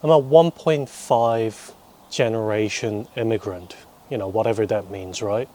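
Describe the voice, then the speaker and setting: Low-voiced young New man, Korean-born. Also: dark tone. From South Korea, raised in New Zealand from preteens. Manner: dry, wry humour with deliberate pauses. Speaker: vlogger and teacher. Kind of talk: rambling casual monologue to camera.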